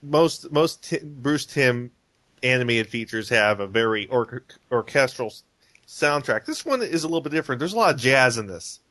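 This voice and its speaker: A man hosting a relaxed podcast discussion, his speech full of ums, restarts and detours. His voice sits in a middle register, and the room sound is completely dry and close. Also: mid-range voice, normal speed, dry recording. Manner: rambling, many fillers and restarts. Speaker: podcast host. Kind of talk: casual chat about animated films.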